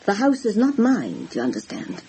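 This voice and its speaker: An actress playing an older widowed village housekeeper, chatty, plain-spoken and deferential, in a radio drama. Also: female voice, medium pitch, huge pitch swings, a little fast, mellow, slightly rough, heavily acted, rough recording. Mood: matter-of-fact.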